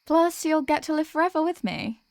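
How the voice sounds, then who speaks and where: A woman's voice: high-pitched, animated, normal speed, a little mellow, no echo, studio quality. A woman playing a sweet, weary demoness girlfriend in an ASMR roleplay, chatting affectionately and intimately to her partner. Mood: coaxing, hopeful. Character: sweet, weary demoness girlfriend venting about office work.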